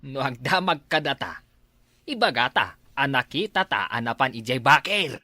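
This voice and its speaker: Guy doing rascal village drinker, ilocano accent, bright lively voice, big comic groans. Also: medium pitch, moderate pace, dry recording, full character voice. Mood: gleeful, scheming. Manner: exaggerated, full of interjections and groans. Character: mischievous village drinker, gleeful then whimpering with regret. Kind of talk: Ilocano comedy radio-style drama acting.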